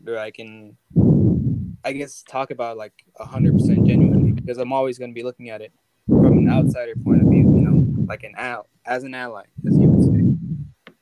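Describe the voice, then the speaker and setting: A man's voice: mid-range voice, fairly even intonation, normal speed. Rambling male podcast host, noisy recording. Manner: loose and rambling, many "like"s. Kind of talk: casual podcast chat.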